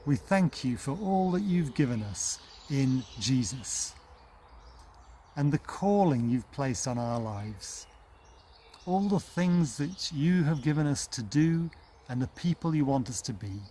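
A man in his fifties speaking to camera in a measured way as a church lay reader, recorded outdoors in a garden. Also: medium pitch, lively intonation, dark tone. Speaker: lay reader leading an online church service. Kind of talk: welcome, notices and spoken prayer to camera. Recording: outdoor ambient noise.

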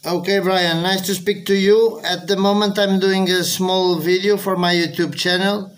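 A man's voice: sits high, flat delivery, moderate pace. A man from Portugal with a Portuguese accent, speaking as an amateur radio operator making contact calls.

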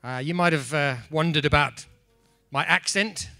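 Animated middle-aged English man, bright voice. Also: a little high, normal speed, creaky, soft background music. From England. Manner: animated, playful, mock-apologetic. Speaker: speaker. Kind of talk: stand-up comedy talk. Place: conference room. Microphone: handheld mic.

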